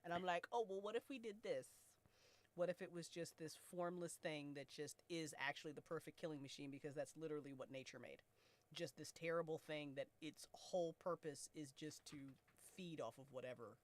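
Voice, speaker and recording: A woman's voice: low-pitched, brisk. A woman hosting a casual group chat about a podcast, talking in a slangy way and swearing freely. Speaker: podcast host and co-creator.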